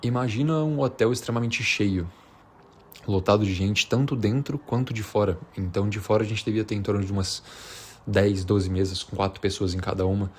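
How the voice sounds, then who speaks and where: A man's voice: lowish voice, fairly quick, a little mellow, breathy edge. A man from Minas Gerais with a Brazilian accent, chatting casually and without a script, in a slangy, hesitant, rambling way. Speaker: vlogger. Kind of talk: unscripted casual chat to camera.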